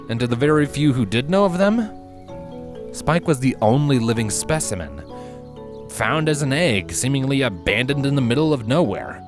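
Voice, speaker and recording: A man reading scripted fantasy fan fiction aloud as a narrator, in measured, expressive storytelling. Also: mid-range voice. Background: background music.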